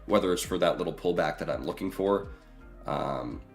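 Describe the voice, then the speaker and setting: A young man with a hoarse, roughening voice, casually talking to his live stream chat with lots of fillers. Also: low-pitched, normal speed, soft background music. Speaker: trading streamer.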